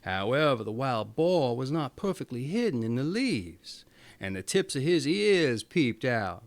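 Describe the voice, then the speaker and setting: A man reading a fairy tale aloud as a storyteller, expressive and theatrical in his delivery. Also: medium pitch, measured pace.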